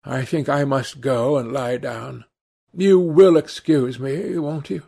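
A man voicing a troubled, melancholy young gentleman in an audiobook, plaintive, earnest and polite. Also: medium pitch, slow pace, gravelly, breathy edge, exaggerated voice. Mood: strained politeness.

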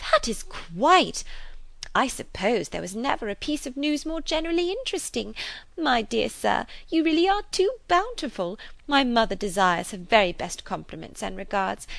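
A woman's voice: high-pitched, vocal fry, a bit bright, no echo, pitched above the performer's own voice, full character voice. A woman voicing a garrulous, good-hearted older spinster in an audiobook, gushing and rambling in a flustered, breathless way. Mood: grateful. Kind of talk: audiobook character voice.

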